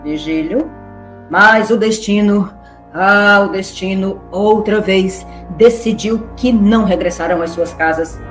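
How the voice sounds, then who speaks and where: A woman narrates in a theatrical, playful way with wry asides. Her voice is mid-pitched, in an echoey space with clear music behind. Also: measured pace.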